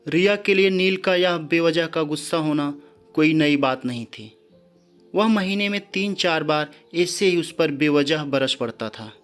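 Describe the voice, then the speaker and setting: Higher-pitched male storyteller over music. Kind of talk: dramatic scripted story narration. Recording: background music under the voice.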